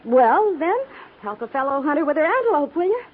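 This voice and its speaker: A woman playing a gentle, gracious frontier woman with an American accent, speaking earnestly in 1950s radio drama style. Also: high voice, very animated, fairly quick. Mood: gentle, bright. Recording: tinny, hissy 1950s radio recording.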